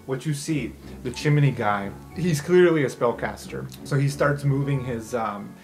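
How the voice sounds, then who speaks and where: A man's voice: mid-range voice, measured pace, vocal fry, faint music behind. A man running an improvised tabletop fantasy game as game master, narrating action and calling for rolls.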